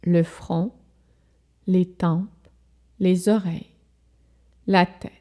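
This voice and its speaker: A woman guides a relaxation meditation in a very soft-edged, mellow voice. Her pitch sits a little low and her delivery is very slow. She speaks calmly in short, measured phrases, leaving pauses between them. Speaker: yoga nidra instructor.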